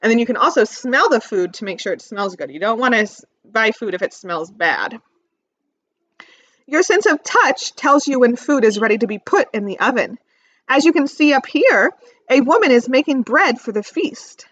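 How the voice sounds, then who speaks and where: A woman teacher reading aloud to young children, expressively stressing key words and pausing to ask simple questions. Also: a little high, sing-song, a bit bright, lo-fi sound. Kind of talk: read-aloud lesson with questions to young listeners.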